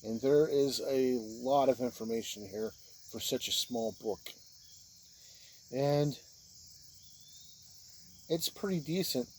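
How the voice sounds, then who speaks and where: A man giving an informal spoken review of role-playing books, plain-spoken and halting, speaking in short phrases. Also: mid-range voice, a little mellow, a little hiss or hum.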